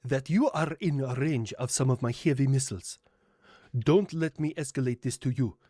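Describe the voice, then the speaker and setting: A man playing a blustering national leader in a comedic political roleplay, halting and stumbling over his words. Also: mid-range voice, dark tone, dry recording, studio quality, natural voice. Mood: threatening.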